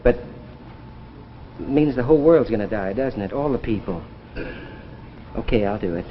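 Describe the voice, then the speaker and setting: An actor playing an eager, anxious young lab assistant who travels through time, earnest and stammering, in 1950s sci-fi drama style. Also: male voice, fairly low pitch, lively intonation, moderate pace, a little hiss or hum, natural voice. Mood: reluctant, resigned. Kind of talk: 1950s radio-era sci-fi drama acting. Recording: dull vintage broadcast, long reverb, music behind.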